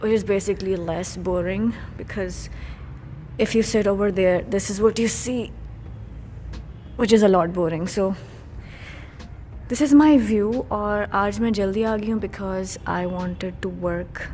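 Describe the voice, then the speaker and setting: A woman's voice: mid-range voice, moderate pace, long pauses, dark tone, a little hiss or hum. A young woman who speaks Urdu natively, chatting casually in English with Urdu phrases mixed in as she narrates a vlog about her week at art college. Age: young adult. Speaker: art student vlogger.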